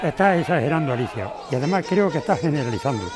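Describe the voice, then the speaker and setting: Casting: actor as impatient middle-aged male lawyer, condescending, quick delivery, audio drama with street crowd behind. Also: on the high side, expressive, natural voice. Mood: impatient, defensive. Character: stubborn, dismissive corporate lawyer, father of an activist.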